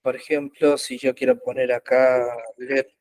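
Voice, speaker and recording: A man teaching an online web development class, explaining code slowly with frequent pauses and fillers. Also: mid-range voice, level tone, a little mellow, dry recording, clean studio sound. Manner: slow, halting explanation with many pauses and fillers. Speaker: programming instructor. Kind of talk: live online coding class. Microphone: computer mic in an online class.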